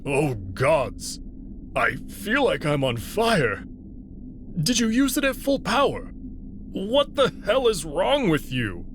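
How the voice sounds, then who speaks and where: A man voicing a gruff, sulky male wolf held captive, who groans and complains in pain. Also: sits high, big pitch swings, slow pace, background noise, pitched above the performer's own voice, full character voice.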